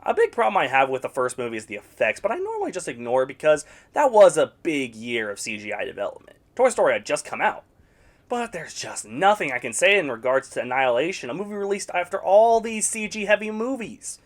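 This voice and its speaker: A man's voice: fairly high pitch, big pitch swings, brisk. A man narrating a scripted video essay reviewing video game movies, with sarcastic, blunt opinions.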